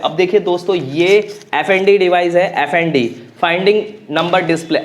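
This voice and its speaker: Man giving a practical technical tutorial. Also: sits high. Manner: repeats key phrases for clarity; mixes in English technical phrases. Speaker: technical instructor.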